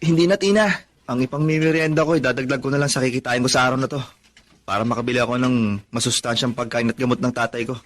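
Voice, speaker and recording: A man's voice: mid-range voice, steady tone, fast, natural voice. A man playing a poor, long-suffering, devoted son in a Tagalog radio drama, speaking earnestly and respectfully. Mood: sorrowful, resolute.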